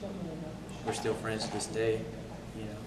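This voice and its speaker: A man's voice: fairly low pitch, monotone, moderate pace. A man veteran answering interview questions hesitantly, with many fillers. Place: school event space. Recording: echoey room, distant mic, loud background noise.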